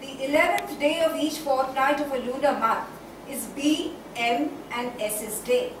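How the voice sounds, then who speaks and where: A woman with an Indian accent acting an anguished, reflective figure in a declamatory, deliberate theatrical monologue on stage. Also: high-pitched. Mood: solemn, reflective. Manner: declamatory, deliberate, with dramatic pauses and repetitions. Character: anguished, reflective woman baring her soul in soliloquy. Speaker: stage actor. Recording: very echoey stage, distant mic, faint noise.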